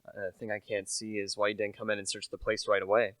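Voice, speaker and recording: A man's voice: fairly low pitch, completely flat delivery, quick pace, a bit bright, no room sound, natural voice. A young man playing a humble, earnest salesman turned amateur sleuth in a radio play, plain-spoken and a little hesitant. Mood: curious. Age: young adult.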